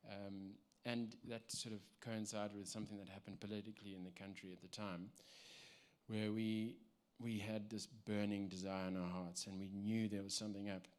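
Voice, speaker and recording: Halting South African man, low voice. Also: little pitch movement, darkish tone. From South Africa, Cape Town. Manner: halting, many ums and restarts. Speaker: church member giving testimony. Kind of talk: personal testimony, unscripted.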